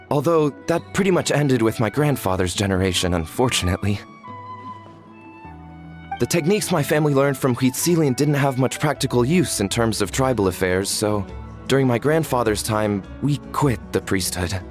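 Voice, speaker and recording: A man's voice: mid-range voice, expressive, moderate pace, lightly acted. An actor playing a nervous, humble male villager in a video game, respectful and apologetic. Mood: humble, explanatory. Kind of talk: English video game voice acting. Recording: faint background music under the voice.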